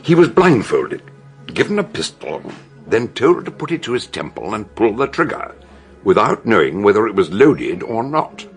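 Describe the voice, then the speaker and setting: Gravelly, theatrical British detective doctor. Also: male voice, lowish voice, very animated, soft background music. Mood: relishing, dramatic. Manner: theatrical, emphatic storytelling with dramatic pauses. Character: shrewd, theatrical older male detective doctor explaining a murder. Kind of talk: British radio drama acting.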